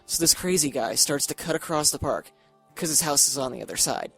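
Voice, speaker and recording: Teasing young boyfriend, music underneath. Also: male voice, on the high side, a little fast, gravelly, pushed and bright. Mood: teasing, lurid. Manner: eager, teasing storyteller. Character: teasing young boyfriend telling a lurid scary tale. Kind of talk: casual dialogue in audio horror drama.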